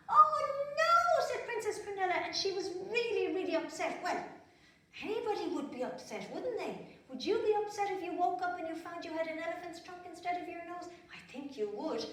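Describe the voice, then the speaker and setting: An Irish woman telling a story to small children in simple, repetitive phrases, chatting and asking them questions as she goes. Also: very high voice. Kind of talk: storytelling for small children, with asides while drawing. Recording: very echoey room, distant microphone.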